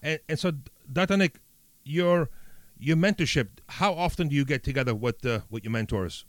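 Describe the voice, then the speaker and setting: A man's voice: fairly high pitch, moderate pace, no echo. A man who grew up in Italy, hosting an interview with upbeat praise and frequent fillers. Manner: enthusiastic, praising, many fillers. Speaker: podcast host.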